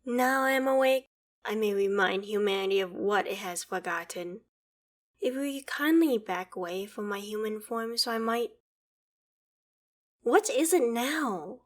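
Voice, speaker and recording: A woman playing an ancient female dragon in an ASMR roleplay, proud and curious, speaking in a measured, formal, archaic way. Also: medium pitch, lightly acted. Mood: imperious, wary. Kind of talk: ASMR roleplay audio drama, addressing the listener.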